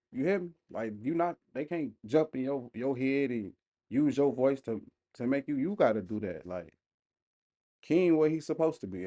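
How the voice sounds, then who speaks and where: A man's voice: mid-range voice, dark tone. A man giving casual, off-the-cuff reaction commentary, speaking in emphatic slang straight to his viewers. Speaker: reaction video host.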